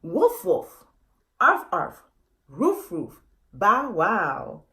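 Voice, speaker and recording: A woman voicing storybook animal families who bark out a search call in loud, rhythmic chanted words. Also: medium pitch, wildly swooping pitch, extremely slow, heavily acted. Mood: urgent barking.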